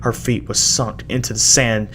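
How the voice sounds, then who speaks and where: A man with a gravelly voice giving a halting, dramatic first-person reading of a horror story as an amateur narrator. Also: medium pitch, level tone, fairly bright tone. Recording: noisy amateur home recording.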